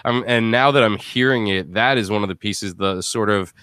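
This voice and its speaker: A man hosting a live post-show chat with an emphatic, excitable delivery. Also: lowish voice, moderate pace, clean tone, no echo, clean studio sound. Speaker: host.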